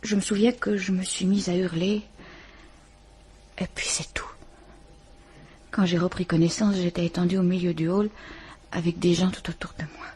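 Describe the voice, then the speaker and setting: An actress playing a distraught woman questioned by a police inspector, speaking haltingly and often near a whisper, in a vintage French radio drama. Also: on the low side, fairly even intonation, dark tone, natural voice. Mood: shaken. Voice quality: breathy, trembling. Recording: old radio play recording.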